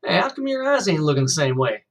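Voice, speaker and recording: A high, bouncy young boy with a folksy drawl who talks a mile a minute, as a man reads him aloud. The voice is husky and swings up and down. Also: big pitch swings, quick pace, exaggerated voice. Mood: excited. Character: chatty young boy from the rural South.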